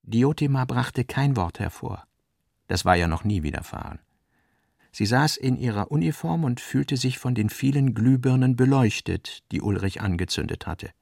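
A male narrator with a medium-pitched, creaky voice reads literary prose in a dry, close recording. His phrasing is measured and deliberate. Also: expressive, darkish tone, no echo.

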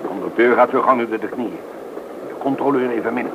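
Male actor as haughty gentleman, suave, self-assured, medium pitch, radio play, muffled noisy old recording. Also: a little fast, natural voice. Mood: haughty, cool. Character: self-assured older upper-class gentleman pressing cognac on fellow travellers.

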